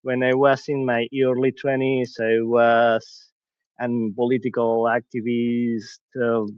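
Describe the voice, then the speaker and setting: A man's voice: medium pitch, completely flat delivery, slow, clean tone, dry recording. Man talking earnestly, dry dull sound. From Venezuela. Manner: earnest, searching for words, frequent restarts. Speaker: podcast guest. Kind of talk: reflective conversational talk.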